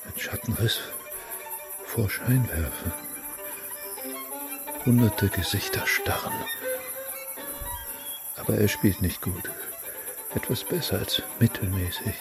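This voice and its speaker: An actor playing a rapt visionary in a dramatic radio monologue, describing an imagined film scene. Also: male voice, on the low side, wildly swooping pitch, slow pace, vocal fry, pauses often, exaggerated voice. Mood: rapt, fervent. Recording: loud music under the voice.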